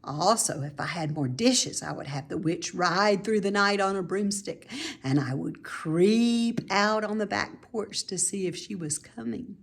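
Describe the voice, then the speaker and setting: A woman voicing a cheerful, playful grown woman from a storybook, with animated, emphatic delivery that savours the words. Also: medium pitch, measured pace, vocal fry. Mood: wistful, playful. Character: cheerful, playful grown woman who delights in housework make-believe.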